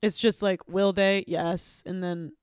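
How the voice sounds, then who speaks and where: Deep-voiced deadpan woman interviewer. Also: measured pace, clean tone. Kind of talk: improvised comedy interview.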